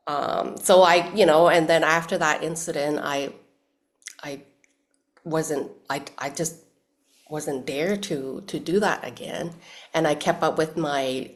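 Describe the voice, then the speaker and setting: A woman from Hong Kong, speaking thoughtfully as an interview guest, with many restarts and fillers. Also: low-pitched. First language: Cantonese. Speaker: actress guest. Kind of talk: casual interview chat.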